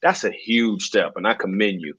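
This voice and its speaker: A man's voice: fairly low pitch, moderate pace, fairly bright tone, breathy edge, no room sound, lo-fi sound. A man, a podcast panel guest speaking earnestly and repeating phrases for emphasis. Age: 30s. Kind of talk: casual panel discussion.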